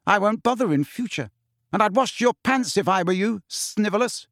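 Guy doing a indignant teen schoolgirl for an audiobook, high pitched, sharp and scolding, dry sound. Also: sing-song, normal speed, slightly rough, no echo, full character voice. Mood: cool. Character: angry, scornful, forthright teenage schoolgirl.

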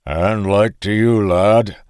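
A man voicing a stout, middle-aged male farmer who talks in a deep, brusque and condescending way. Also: animated, slow, no echo, studio quality. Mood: gruff. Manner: brusque, loud, fatherly.

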